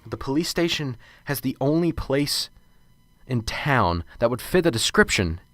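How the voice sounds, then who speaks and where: A man's voice: mid-range voice, sing-song, measured pace, a bit bright, no echo. A man playing a nervous, unsure professor in a radio drama, stammering and hesitant. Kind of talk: amateur radio drama acting. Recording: radio drama with music underneath.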